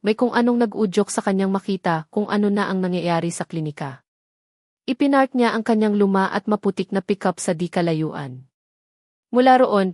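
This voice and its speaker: A woman's voice: medium pitch, a little mellow, no echo, clean studio sound. A woman narrating a scripted, dramatic romance story as a storyteller.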